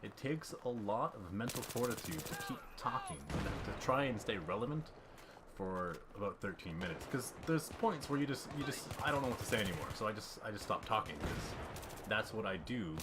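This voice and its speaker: Young male gameplay commentator, rambling and self-deprecating, low-ish voice, stifling a cough, gunfire clearly in the background. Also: expressive, normal speed, heavy background noise. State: fighting off a cough. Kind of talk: casual voice-over commentary over gameplay. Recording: game gunfire clearly heard behind. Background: game gunfire and ambience.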